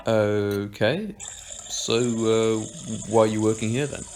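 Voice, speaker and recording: A man's voice: fairly low pitch, fairly even intonation, takes it slowly, a little mellow, a little hiss or hum. A man acting a carefree, joking pub regular in an amateur audio drama, talking in a casual, slightly hesitant, teasing way. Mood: curious, teasing.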